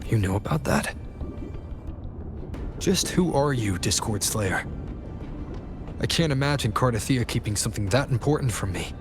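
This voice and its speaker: An actor playing a serious, suspicious young warrior in a video game, stern and probing. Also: male voice, medium pitch, animated, moderate pace, pauses often, music in the background, natural voice. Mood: accusing. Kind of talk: scripted video game voice acting.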